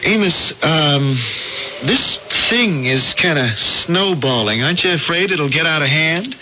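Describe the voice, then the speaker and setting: An actor playing a skeptical, exasperated friend in an old-time radio drama, casual and teasing. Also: male voice, on the high side, animated, measured pace, sharp tone, full character voice. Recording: dull, noisy old radio recording.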